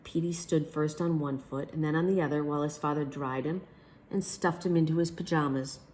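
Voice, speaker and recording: A woman storyteller with a slightly low voice reads a bedtime story to children. Her delivery is expressive, and she acts out the lines of the characters. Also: a little fast, slight background noise. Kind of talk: children's picture book read aloud.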